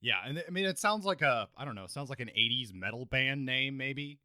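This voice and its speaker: A man speaks as a podcast co-host in a relaxed back-and-forth discussion of books. His pitch sits in the middle of the male range, and the sound is dry, with very little room on it. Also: brisk, no echo. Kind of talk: conversational book discussion.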